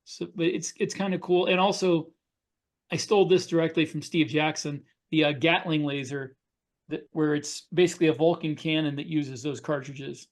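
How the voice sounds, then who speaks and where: A man's voice: fairly high pitch, steady tone, normal speed. A man chatting as an author on a panel, explaining things casually in short pieces. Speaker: science fiction author on a panel podcast.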